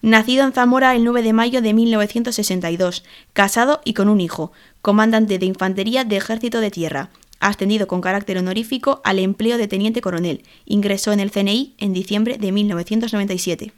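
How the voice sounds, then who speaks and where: Female reader, formal memorial read-out, steady and even. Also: mid-range voice, brisk. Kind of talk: scripted reading.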